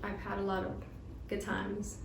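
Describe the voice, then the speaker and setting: A young woman of nineteen talks thoughtfully about skating. Her pitch barely moves. The room echoes, and steady background noise is clearly audible. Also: moderate pace, recorded from a distance. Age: young adult. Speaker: skater. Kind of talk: casual interview answers.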